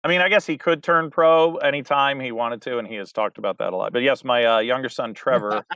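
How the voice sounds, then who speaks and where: A man's voice: mid-range voice, a little fast, a bit bright, smooth, dry recording. A man in his forties, a theater director, answering interview questions in a chatty, rambling way with many fillers.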